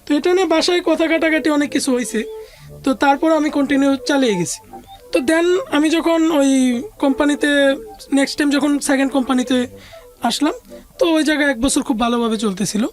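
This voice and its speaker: High-voiced Bangladeshi woman telling her story. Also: fairly quick, darkish tone, faint music behind. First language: Bengali. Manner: conversational, mixes in English words. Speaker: interview guest. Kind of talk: recounting a personal life story.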